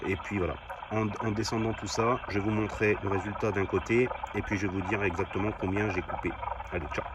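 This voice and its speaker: A man with a fairly low voice talks casually to his viewers as he demonstrates some hobby gear. His manner is informal and down-to-earth, full of little conversational tags. It is a field recording with clearly audible noise behind him. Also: steady tone, brisk. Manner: informal, down-to-earth, often tagging phrases with "hein". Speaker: host of a CB radio hobby vlog. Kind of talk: casual hands-on demonstration to camera.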